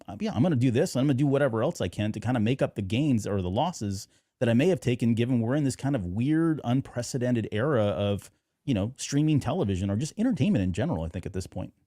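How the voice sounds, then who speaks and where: Male podcast host, middle-aged, mellow mid-range voice, casual and discursive, recorded dry. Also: medium pitch, dry recording. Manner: discursive, agreeable, talking through points. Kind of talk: casual fan commentary.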